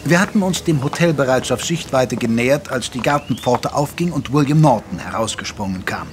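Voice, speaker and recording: An actor playing an observant gentleman companion who narrates a detective audio drama in a literary, measured style. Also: mid-range voice, animated, background noise, natural voice. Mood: narrating.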